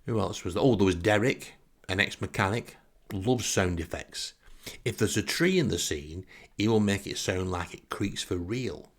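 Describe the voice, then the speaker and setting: A man's voice: lowish voice, lively intonation, normal speed. A man from England, telling a comic anecdote in a wry, exasperated way. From northern England. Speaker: storyteller.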